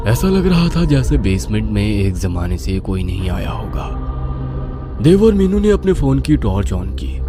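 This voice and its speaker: Dramatic male horror narrator, music behind. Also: lowish voice. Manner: suspenseful, theatrical. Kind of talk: dramatic scripted horror story narration in Hindi.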